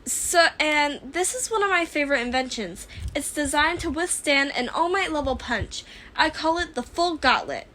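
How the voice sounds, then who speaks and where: Woman playing an eager, high-voiced inventor girl. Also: lively intonation, moderate pace, pushed and bright. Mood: eager, proud. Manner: eager, explaining. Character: bright, enthusiastic young inventor girl, friendly and helpful.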